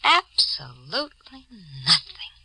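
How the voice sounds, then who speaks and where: An actress playing a cold, manipulative femme fatale in a 1940s radio thriller, coolly taunting and insistent. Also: female voice, high-pitched, wildly swooping pitch, slow, vocal fry, bright, forceful tone, slightly rough, heavily acted. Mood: menacing, taunting.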